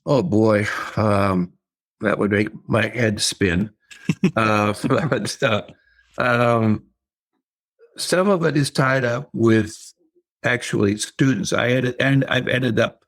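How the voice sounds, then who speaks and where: Low-voiced older man, halting and thoughtful. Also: low-quality recording. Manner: thoughtful, halting with many fillers and repeats. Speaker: author and writing teacher. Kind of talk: casual interview conversation.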